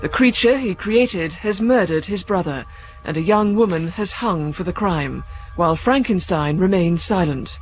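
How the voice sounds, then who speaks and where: A man's voice: sits high, expressive, normal speed, faint music behind, low-quality recording. A man narrating a scripted recap for a radio drama in a dramatic storytelling style.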